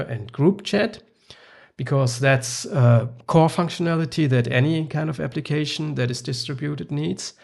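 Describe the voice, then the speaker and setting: A man whose first language is German, walking through his early app in a relaxed, thinking-aloud way with frequent fillers. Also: mid-range voice, vocal fry. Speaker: software developer. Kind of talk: screen-recorded app demo.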